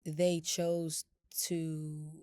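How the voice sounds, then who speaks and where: A woman with a slightly low, cold-roughened raspy voice talks casually on a podcast. She meanders through her thoughts with plenty of fillers. The sound is close and dry. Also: drawn-out words, dry recording. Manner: relaxed, rambling with many fillers. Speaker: podcast co-host. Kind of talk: casual chat.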